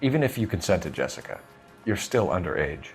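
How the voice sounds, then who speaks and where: An actor playing an earnest male police detective who questions people in a firm, measured way. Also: sits low, very animated, vocal fry, soft background music, full character voice. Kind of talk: video game voice acting.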